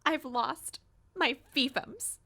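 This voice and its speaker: A high, creaky-voiced young woman next door, pleading and emotive. She sounds like a distressed neighbor begging for help in an audio drama. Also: slow pace, bright, forceful tone, exaggerated voice. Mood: anxious pleading. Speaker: actor.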